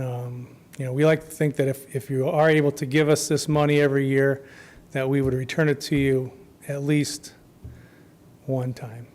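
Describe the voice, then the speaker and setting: A man speaking as a festival co-chair before a city commission, his voice going hoarse, informal with many ums, trailing off quietly at the ends of phrases. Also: medium pitch. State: losing his voice. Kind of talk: public comment at a council meeting. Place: council chamber.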